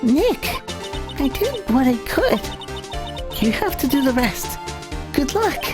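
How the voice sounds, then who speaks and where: Woman playing an earnest, high-voiced young assistant. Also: very animated, slow pace, music in the background, exaggerated voice. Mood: resolute, sad. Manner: earnest, resolute. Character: earnest, self-sacrificing young female legal assistant. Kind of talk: dramatic video game voicing.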